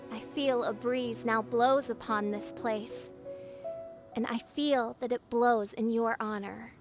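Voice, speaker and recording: A woman's voice: a little high, fairly even intonation, takes it slowly, music in the background. A woman playing a theatrical teenage girl adventurer who talks like a grandiose princess in a video game, with pompous archaic diction. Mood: imperious, grandiose. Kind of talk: video game cutscene voice acting.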